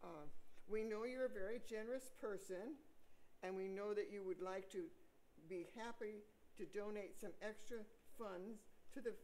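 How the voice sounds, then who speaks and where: An older woman playing a pushy but polite fundraising visitor in a staged comedy reading, coaxing and deliberate. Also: medium pitch, a little mellow, lightly acted, rough recording.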